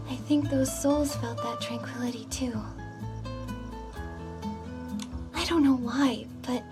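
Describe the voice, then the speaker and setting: Kind young game heroine: high voice, soft and sincere, music behind her lines. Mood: wistful, peaceful. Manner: soft, thoughtful. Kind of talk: video game voice acting.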